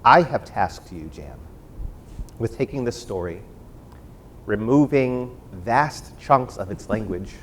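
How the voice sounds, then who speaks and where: A man's voice: medium pitch, animated, slow, long pauses, a little hiss or hum. A man moderating a virtual panel discussion in a conversational, effusive and theatrical way, building his phrases into dramatic lists.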